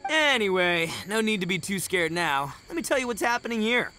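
A man playing a brash, boastful young oni gang boss in a video game, loud, swaggering and casual. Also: sits high, sing-song, a little fast, faint music behind, exaggerated voice, poor audio. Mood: flustered.

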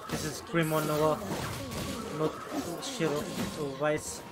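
A man's voice: on the high side, flat delivery, takes it slowly, heavy background noise. A man giving casual gameplay commentary as a let's player. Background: game music.

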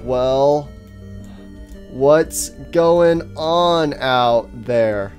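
Plodding, blunt animatronic, played by a man, very slow word-by-word delivery, long gaps, comedy skit. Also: medium pitch, darkish tone, soft background music. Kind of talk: amateur comedy skit voice acting.

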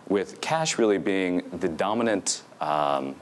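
A young man with a deep, low voice delivers an academic talk from a podium microphone in a lecture hall. He speaks fluently and steadily, dropping in frequent "um"s and "you know"s as he goes. A faint hum of room ambience sits behind him. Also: expressive, takes it slowly. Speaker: conference speaker. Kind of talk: academic presentation.

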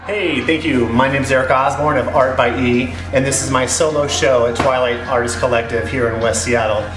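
A man's voice: medium pitch, steady tone, fairly quick. A man in his forties from Arizona, an artist, presenting his show in a friendly, promotional way. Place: small gallery, indoors. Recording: echoey room with music behind.

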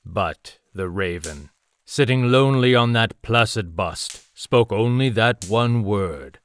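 Solemn gothic narrator guy, low voice, very slow, theatrical poetry reading, dry sound. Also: clean tone, dry recording. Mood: solemn, brooding. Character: solemn male storyteller of a gothic tale. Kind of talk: dramatic narration of gothic poetry in an audio drama.

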